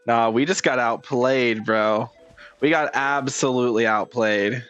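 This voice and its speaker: A man's voice: mid-range voice, measured pace, fairly bright tone, smooth, dull audio, faint music behind. Casual, slangy man commenting on gameplay. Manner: repeats phrases, slangy. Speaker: game streamer.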